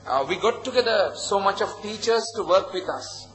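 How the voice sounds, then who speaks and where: High, bright-voiced Sri Lankan man presenting. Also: lively intonation, moderate pace, poor audio. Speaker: conference presenter, project founder. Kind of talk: conference presentation. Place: conference hall at a hotel.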